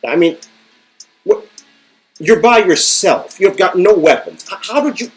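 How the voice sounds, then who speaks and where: A man playing a flustered, earnest character with a halting, emphatic delivery in a story-game reading. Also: sits high, lively intonation, voice pushed up. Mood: baffled, incredulous. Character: flustered, incredulous man confronting a friend, earnest. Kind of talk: amateur dialogue reading of an interactive story game. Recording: music under the voice.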